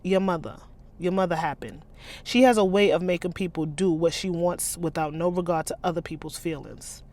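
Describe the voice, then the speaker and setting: A woman's voice: on the low side, fairly even intonation, normal speed, darkish tone, lightly acted. A woman voicing a short-tempered male ex-convict street boss in a novel, with an American accent and slangy, contemptuous delivery. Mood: bitter.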